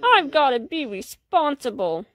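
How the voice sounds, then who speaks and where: A woman's voice: high-pitched, sing-song, unhurried, fairly bright tone, no room sound, pitched below the performer's own voice, full character voice. A woman voicing an earnest, repentant policeman in a storybook, eager to do better. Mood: earnest, resolute.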